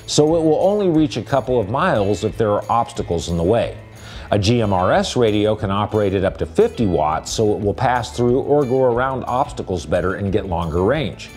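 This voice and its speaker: Lively man explaining gear. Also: lowish voice. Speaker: product presenter. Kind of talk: scripted product explainer voice-over.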